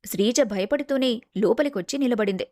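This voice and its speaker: A woman's voice: on the high side, brisk, dark tone, dry recording. A woman reading scripted narration for a family story.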